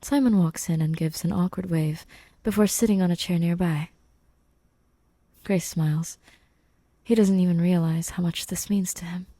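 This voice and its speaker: A woman's voice: fairly low pitch, mellow, no room sound. A woman reading fan fiction aloud as a podfic narrator, in scripted storytelling style.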